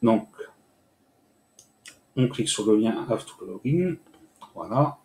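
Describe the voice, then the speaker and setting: A man hosts a screen-recorded tutorial and explains each step slowly, with frequent hesitations. His voice is mellow and warm. The room around him echoes, with a faint hiss behind. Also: fairly low pitch, lively intonation. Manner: hesitant, many "euh" fillers, step-by-step explaining. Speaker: tutorial host.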